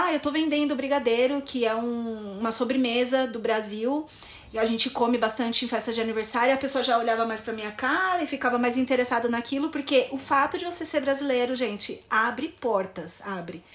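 A young woman from São Paulo with a São Paulo accent, telling relaxed travel stories as a podcast guest and laughing easily. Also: on the high side. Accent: Brazilian Portuguese, São Paulo. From São Paulo, Brazil.

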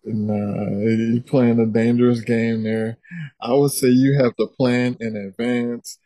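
A man's voice: medium pitch, flat delivery, takes it slowly, dark tone, no room sound. A man appearing as a guest on a podcast in a casual chat, laid-back and joking.

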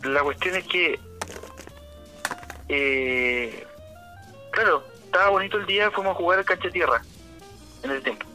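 A man's voice: high-pitched, measured pace, long pauses, pushed and bright, soft background music, low-quality recording. A man with a Chilean accent, co-hosting a casual chat and telling stories in an easygoing, slangy way.

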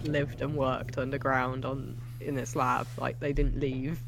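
A man's voice: mid-range voice, little pitch movement, unhurried, gravelly, background noise. A man co-hosting a casual movie reaction chat, explaining things in a wry way. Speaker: reaction show co-host. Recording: music and film audio behind voice. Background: film soundtrack music.

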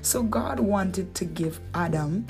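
Dominican woman, lowish voice, chatty Bible teacher, soft music bed. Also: slow pace, vocal fry. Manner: conversational, asks rhetorical questions, playful asides. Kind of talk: relaxed devotional teaching.

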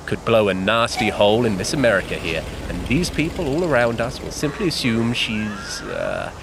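An actor playing a breezy, menacing 1930s upper-class cad in an audio drama, with a British accent and affected politeness. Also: male voice, lowish voice, huge pitch swings, moderate pace, creaky, full character voice. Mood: suave menace. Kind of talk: full-cast audio drama acting. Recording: noisy outdoor street with vehicles.

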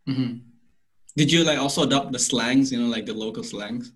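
A young man from Malaysia hosting a casual conversation, talking with lots of fillers and restarts. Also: mid-range voice. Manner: rapid, filler-heavy, frequent restarts.

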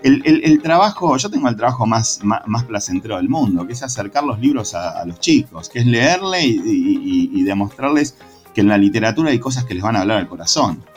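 A man with an Argentine accent, a secondary school literature teacher, talking in a relaxed interview in a reflective, passionate way with frequent restarts. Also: on the low side, fairly quick, faint music behind. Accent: Argentine Spanish.

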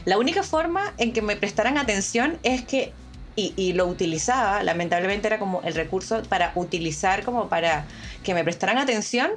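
A young Venezuelan woman talks in a relaxed panel discussion. She often stops and restarts her sentences, feeling her way through each thought. Faint music plays underneath her voice. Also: mid-range voice, brisk. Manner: hesitant, many restarts and fillers. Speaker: panel guest.

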